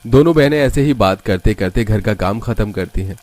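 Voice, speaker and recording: Low-pitched male story narrator. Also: expressive, normal speed, darkish tone.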